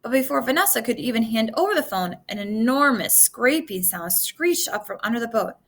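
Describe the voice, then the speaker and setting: A woman reading a children's adventure novel aloud in an expressive way, occasionally stumbling over a word. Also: on the high side, fairly quick. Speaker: storybook reader.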